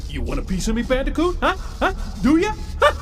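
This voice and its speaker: A cocky earth elemental from a video game, pitched well up and very animated. He taunts like a belligerent bully, with loud music behind him. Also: fairly quick, exaggerated voice. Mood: taunting, threatening. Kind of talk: video game cutscene acting.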